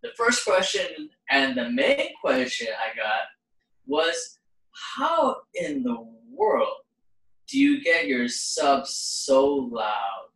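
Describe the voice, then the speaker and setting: A man hosting a casual interview in halting, broken-up phrases, recorded in a room at some distance from the microphone. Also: a little high, wildly swooping pitch, a bit bright. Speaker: host, interviewer and singer. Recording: roomy, distant microphone pickup.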